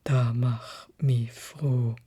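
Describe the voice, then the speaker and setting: A gentle, grieving beast at death's door as a woman storyteller puts him on, speaking Swiss German. The voice sits low and breathy, and the words come weakly and very slowly, as in a fairy tale for children. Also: steady tone, exaggerated voice. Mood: weak, sorrowful. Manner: slow, faint, halting. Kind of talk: storybook character voice.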